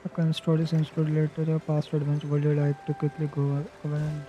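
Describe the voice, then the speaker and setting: A man's voice: fairly high pitch, completely flat delivery, fast, dark tone. A man with an Indian accent livestreaming a game, chatting casually and reading story text aloud. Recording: dull lo-fi stream mic, music behind. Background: game music.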